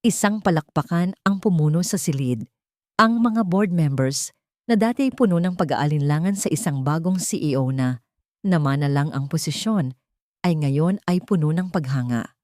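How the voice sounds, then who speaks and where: Isang babaeng may puntong Pilipino na nagsasalaysay ng madramang kuwentong nakasulat. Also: female voice, sits low, lively intonation, normal speed, a little mellow, dry recording, clean studio sound. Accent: Filipino. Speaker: narrator. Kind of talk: scripted dramatic story narration.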